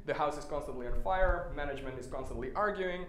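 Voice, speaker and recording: A man's voice: fairly high pitch, quick pace, fairly bright tone. A man from Serbia giving a talk at a tech meetup, casual and wry, with frequent filler sounds. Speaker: conference speaker. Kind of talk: conference talk with slides. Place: meetup stage, indoors. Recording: echoey room, faint noise.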